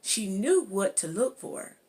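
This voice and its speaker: A woman narrates a school storybook at a slow pace. Her pitch sits in the middle range, and she reads deliberately, often pausing partway through a sentence. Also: sing-song, dark tone. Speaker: guest reader. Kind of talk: audiobook-style storybook read-aloud.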